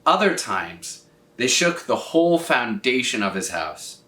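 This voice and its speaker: A man narrates a story for children with very lively, varied intonation. His voice is bright and clear-cut, and he stretches words for dramatic effect. The recording sounds echoey, as if he is standing back from the microphone in a room. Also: medium pitch, a little slow. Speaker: storyteller reading aloud for children. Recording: roomy echo, distant from mic.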